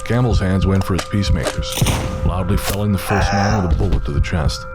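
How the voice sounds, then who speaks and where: A man's voice: deep voice, brisk. A man narrating a scripted dramatic story, with music behind him.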